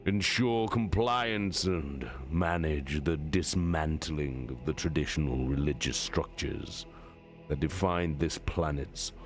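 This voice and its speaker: A man playing an authoritative warmaster giving a stern, measured briefing in a dramatic story reading. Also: rumbling low, full character voice. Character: authoritative male warmaster briefing his commanders.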